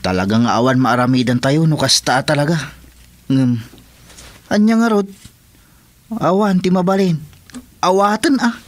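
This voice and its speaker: A man's voice: on the high side, animated, moderate pace, pauses often, lightly acted. A man playing a grumbling, put-upon village husband in an Ilocano comedy radio drama, with an Ilocano accent.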